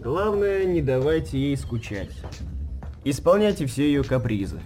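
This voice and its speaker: An actor playing an important grey-haired old doctor in a radio drama, measured, earnest and authoritative. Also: male voice, mid-range voice, very animated, mellow, faint music behind. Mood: earnest, instructing.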